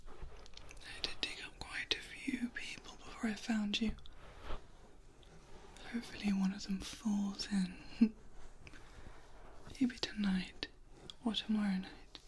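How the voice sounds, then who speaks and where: A woman playing an ancient, protective female vampire in an ASMR roleplay, in a soft, breathy whisper with formal, old-fashioned phrasing. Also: mid-range voice, steady tone, slow, pauses often, lightly acted, low-quality recording. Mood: dryly amused. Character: ancient, protective, softly disdainful female vampire tending a loved one.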